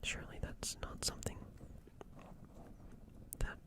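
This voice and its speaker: A performer voicing a gentle, friendly alien in a breathy whispered ASMR roleplay, soothing and rambling, repeating words. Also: a little slow, lightly acted. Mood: wistful, musing. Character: gentle friendly alien comforting a crashed human.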